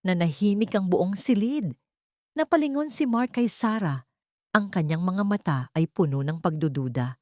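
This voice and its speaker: A woman reads a dramatic story aloud in a steady, measured narration. Her voice sits on the low side and is recorded dry, with no room around it. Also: no echo. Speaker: audiobook narrator.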